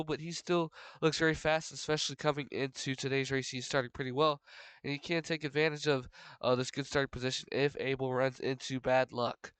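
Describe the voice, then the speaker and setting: A young man with a stuffy, congested voice runs through the standings before a race, stumbling into fillers and restarts as he goes. His voice sits a little high and comes through very smooth and clear. The sound is dry and close, with no room around it. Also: a bit bright, dry recording. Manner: rambling, filler-heavy, frequent restarts. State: congested from a cold. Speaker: race commentator for a sim racing league. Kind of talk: solo race preview commentary.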